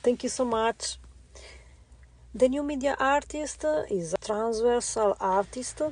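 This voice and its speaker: Slow, halting Italian-accented woman. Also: fairly high pitch, a little mellow. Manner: halting, many filler sounds. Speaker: interview guest, visual artist. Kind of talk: interview answers. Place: radio studio.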